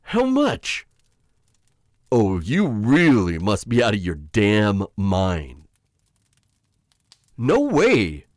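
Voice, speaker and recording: A man voicing a gruff, sarcastic male witch hunter for hire, blunt, scoffing and swearing as an ASMR roleplay. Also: on the low side, huge pitch swings, extremely slow, long pauses, darkish tone, no echo, clean studio sound, heavily acted. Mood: mocking. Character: gruff mocking male witch hunter, a poor hired mercenary.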